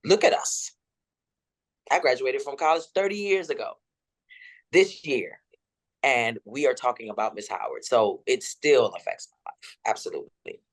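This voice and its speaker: A woman in her fifties with a deep, rolling voice, telling her story dramatically as an interview guest. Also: normal speed, pauses often, poor audio. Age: 50s. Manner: dramatic and expressive.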